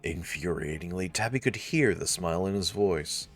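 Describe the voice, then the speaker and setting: Low-voiced, laid-back male let's player. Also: moderate pace, faint music behind. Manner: laid-back, wry asides. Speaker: video game let's player. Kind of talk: casual commentary and read-aloud narration.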